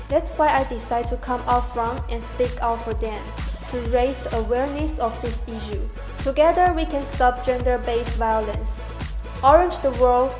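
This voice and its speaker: Woman, fairly high voice, scripted campaign message, echoey room, music. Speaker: beauty contest winner.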